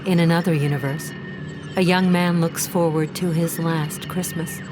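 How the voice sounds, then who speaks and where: A woman's voice: on the low side, steady tone, moderate pace. A woman giving dramatic scripted narration as the narrator of an audio drama, with music behind her.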